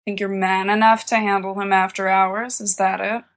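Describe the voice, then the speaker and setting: A woman's voice: mid-range voice, level tone, moderate pace, natural voice. A woman voicing a sly, careless teenage boy in an audiobook, flippant and scoffing in manner. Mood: sly mockery. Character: cocky, sly, mocking, careless teenage schoolboy. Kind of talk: dramatised fan-fiction audiobook reading.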